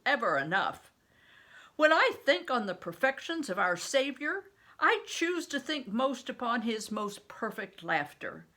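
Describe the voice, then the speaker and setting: A woman voicing a big, gruff, jovial street entertainer in a storybook, speaking emphatically and deliberately. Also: on the high side, pushed and bright, full character voice.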